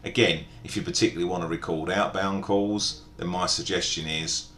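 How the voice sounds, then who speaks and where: A man narrating an informal step-by-step software tutorial in a methodical, explanatory way. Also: steady tone, fairly quick. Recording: echoey room, background hiss and rumble.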